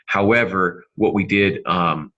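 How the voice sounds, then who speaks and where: A man co-hosting a casual podcast discussion, speaking in a halting way with self-deprecating humor, on slightly dull audio. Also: low-pitched, pushed and bright, slight background noise. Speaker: podcast co-host and novelist.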